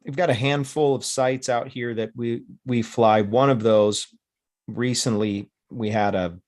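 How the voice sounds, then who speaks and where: A man hosting a casual interview, talking in a rambling, halting way as he works toward his question. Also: medium pitch, steady tone, darkish tone. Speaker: podcast host, paramotor pilot.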